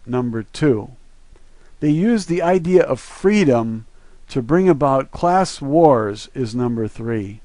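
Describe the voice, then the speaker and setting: A man's voice: mid-range voice, dark tone, no room sound. An American man delivering an earnest, preachy solo monologue to his listeners, stressing his points like someone urging people to act. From United States. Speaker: video creator and presenter. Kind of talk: solo monologue, part reading a list, part commentary.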